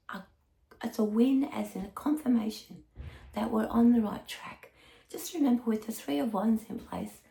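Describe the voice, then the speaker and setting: Croaky-voiced woman reading tarot. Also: on the high side, warm tone, reverberant, low-quality recording. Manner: halting, stop-start delivery with slips; drops to a breathy whisper at times. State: hoarse, croaky voice. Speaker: tarot reader. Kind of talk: weekly tarot forecast talk.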